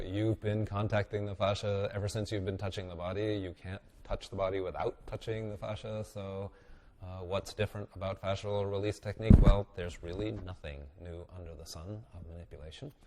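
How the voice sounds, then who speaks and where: A man with a very low voice and a head cold that makes him sound nasal and congested. He teaches bodywork in an easygoing webinar style, thinking aloud with plenty of ums and dry little jokes. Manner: relaxed, musing, with fillers and wry asides. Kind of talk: instructional webinar talk.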